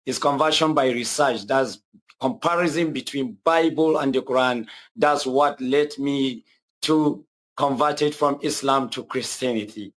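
A man's voice: medium pitch, measured pace, fairly bright tone. A young man with a Nigerian accent telling his own story earnestly, hesitating and repeating himself often. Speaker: guest telling his conversion testimony. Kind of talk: personal testimony.

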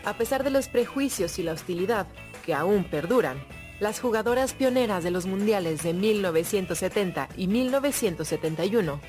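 A woman's voice: mid-range voice, lively intonation, fairly quick, a little mellow. A woman reading scripted historical narration as a documentary narrator. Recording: music bed behind voice.

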